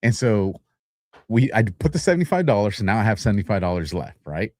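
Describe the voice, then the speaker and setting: A podcast co-host, a man with a lowish voice, rambles through his stories and pokes fun at himself along the way. The sound is dry and close, with no room echo. He talks casually, the way you would with friends. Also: very animated, fast, darkish tone. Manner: rambling, self-deprecating, frequent restarts and laughs. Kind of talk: casual chat.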